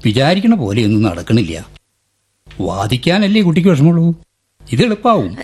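An actor playing a patronising middle-aged senior man in a radio drama, coaxing and condescending, with a husky voice. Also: medium pitch, big pitch swings, normal speed, a little mellow. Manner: condescending, coaxing, swooping intonation. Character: patronising senior man handing out petty legal work.

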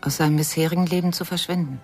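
Grieving but hopeful mum in a radio drama, faint music behind. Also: female voice, low-pitched, fairly even intonation, rapid-fire, darkish tone. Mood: searching, hopeful. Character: sorrowful, reflective mother of a missing son, stubbornly hopeful. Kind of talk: German radio crime drama.

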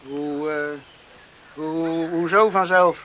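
A man's voice: on the high side, steady tone, slow pace, sharp tone, slight background noise. A man acting in a Dutch radio play as an earnest, tender, hardworking young farm man in love. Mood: plain, curious. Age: young adult.

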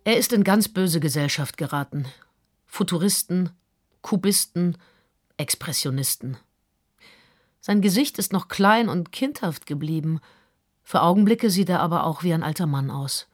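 A woman's voice: lowish voice, lively intonation, normal speed. A woman playing a prim, judgemental older aunt in a radio drama, reading out her report with precise disapproval. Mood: disapproving.

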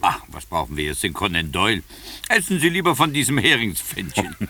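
An actor playing a sceptical, grumbling official in a 1950s radio drama, dismissive, sarcastic and peevish. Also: male voice, lowish voice, wildly swooping pitch, fairly quick, pushed and bright, a little hiss or hum, full character voice. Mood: dry, disapproving. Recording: vintage 1950s radio play recording.

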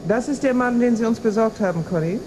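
An actress playing a sharp, haughty corporate boss in a radio drama, curt and cutting with everyone. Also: female voice, fairly high pitch, fast, lightly acted. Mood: disdainful. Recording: loud engine noise behind the voice. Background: loud aircraft engine.

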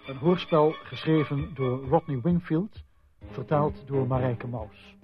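A man announcing a radio drama in a slow, scripted style. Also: medium pitch, muffled recording, lightly acted. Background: music.

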